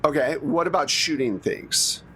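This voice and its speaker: A man's voice: a little high, measured pace, pushed and bright, slight background noise. Conversational man running a tabletop game. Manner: conversational, informal. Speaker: game master. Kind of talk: narrating a tabletop role-playing game.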